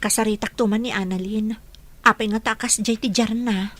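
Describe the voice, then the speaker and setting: An actress with a Filipino accent playing a gossipy but sympathetic young friend in a radio drama. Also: female voice, mid-range voice, steady tone, normal speed, fairly bright tone, natural voice. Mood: concerned, insistent.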